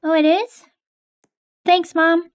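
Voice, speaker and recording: A woman's voice: very high-pitched, little pitch movement, takes it slowly. A young woman hosting and narrating a game roleplay in a casual, hesitant way with many ums.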